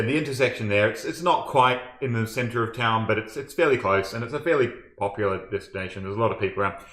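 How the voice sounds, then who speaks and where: A man's voice: lowish voice, fairly bright tone. Laid-back New Zealand man in an echoey room. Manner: laid-back, self-mocking, lots of "um" and "like". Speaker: storyteller and channel host. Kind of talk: casual spoken storytelling.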